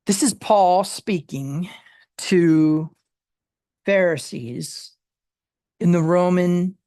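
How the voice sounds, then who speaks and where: A Bible study teacher who explains word meanings in a halting way, with many fillers and restarts. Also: medium pitch, mellow, dry recording, studio quality.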